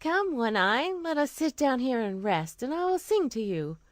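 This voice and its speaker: A woman's voice: high-pitched, big pitch swings, normal speed, no room sound, studio quality, pitched above the performer's own voice. A woman voicing a clever, polite young girl in a children's fairy tale, with a coaxing, sing-song manner. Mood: sweetly sly.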